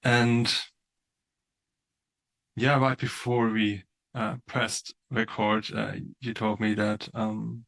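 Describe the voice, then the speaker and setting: A man hosting a podcast, with a fairly low voice that has a gravelly edge. He speaks gently, nudging his guest along with soft mm-hmms. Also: level tone, slow, rough recording. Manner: gentle, encouraging, frequent affirming mm-hmms. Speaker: podcast host. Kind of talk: podcast interview.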